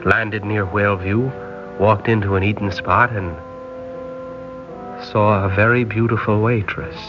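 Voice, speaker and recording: A man's voice: fairly low pitch, steady tone, a little slow, natural voice. An actor playing a polite, hesitant nineteen-year-old seaman from Northern Ireland in an old radio drama. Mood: fond reminiscing. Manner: polite, hesitant, formal British phrasing. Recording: dull old radio recording, music behind.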